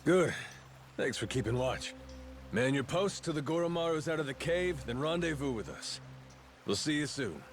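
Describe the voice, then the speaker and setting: Actor as a cocky, animated pirate captain. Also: male voice, fairly high pitch, moderate pace, faint music behind, close to an everyday voice. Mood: firm. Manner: casual, swaggering, slangy. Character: brash, cocky pirate captain, adult man with amnesia. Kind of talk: video game voice acting.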